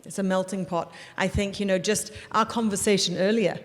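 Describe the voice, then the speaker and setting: A woman's voice: mid-range voice, steady tone, brisk. A woman from Hong Kong speaking as a school principal on a panel, earnest and full of fillers. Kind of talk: panel discussion on stage. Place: event hall before an audience. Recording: reverberant event hall.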